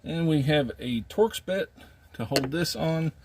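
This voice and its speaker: A man's voice: a little high, animated, measured pace, slight background noise. A man hosting a casual how-to video, talking through a job in folksy, informal phrasing as he works. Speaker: how-to video host, trucker. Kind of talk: casual hands-on tutorial, talking while working. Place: in and around a truck cab.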